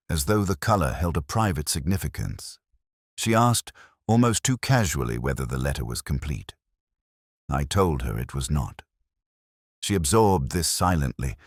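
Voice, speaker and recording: Very deep-voiced man narrating a detective story. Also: no echo, clean studio sound. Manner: measured, literary, brooding delivery. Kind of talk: scripted first-person detective story narration.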